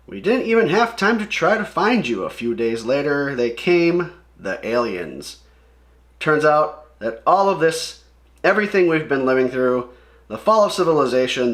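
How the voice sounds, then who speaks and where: A man's voice: medium pitch, fairly bright tone, close to an everyday voice. A man reading the part of a grave woman warrior from a comic, solemn and expository.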